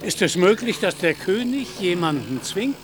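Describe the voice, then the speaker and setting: An actor in a German radio play as a deluded, grandiose knight-errant, declaiming in lofty archaic phrases. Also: male voice, high voice, big pitch swings, moderate pace, background noise. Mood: solemn, stately. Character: pompous, deluded knight-errant, an ageing gentleman, lofty and fiery.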